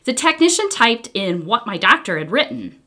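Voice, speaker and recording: A middle-aged American woman reading a children's book aloud in an expressive, deliberate way. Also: a little high. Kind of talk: read-aloud storytelling, scripted. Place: small indoor room, wall covered in papers.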